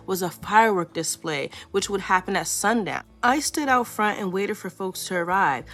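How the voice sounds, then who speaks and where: A woman reading a children's picture book aloud in a playful, expressive storytelling way. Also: mid-range voice.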